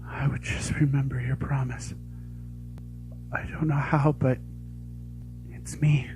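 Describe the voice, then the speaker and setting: A man voicing a tender, devoted young soldier returned from death, speaking in a hushed, halting, earnest way in audition drama style. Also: medium pitch, level tone, soft background music, low-quality recording. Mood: earnest, emotional.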